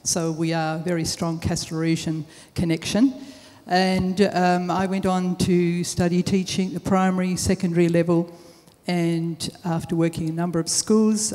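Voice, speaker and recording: A woman's voice: fairly low pitch, flat delivery, normal speed, mellow. A woman in her sixties, a school deputy principal, introducing herself fluently and composedly. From Australia. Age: 60s. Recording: echoey hall.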